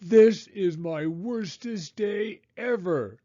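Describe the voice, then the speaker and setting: A man voicing a sulky, easily upset young boy character in a children's storybook, with a whiny, drawn-out delivery. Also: high voice, dark tone, dry recording, highs cut off, voice pushed up. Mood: furious, defiant. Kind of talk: children's storybook read-aloud.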